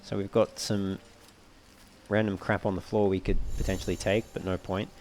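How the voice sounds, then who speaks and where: Male let's player, low-pitched, talking casually through a game and reasoning aloud as he goes. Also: flat delivery, moderate pace, a little mellow, a little hiss or hum. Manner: thinks aloud while reading menus. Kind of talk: casual gameplay walkthrough commentary.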